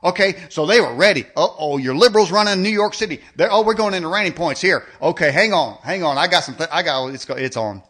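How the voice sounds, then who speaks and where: Male talk host, high forceful voice, improvised rant, sarcastic and repetitive, stumbling delivery. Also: expressive, quick pace, few pauses. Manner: stammering, repeats phrases, sarcastic, colloquial. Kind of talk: improvised rant monologue with mocking role-play.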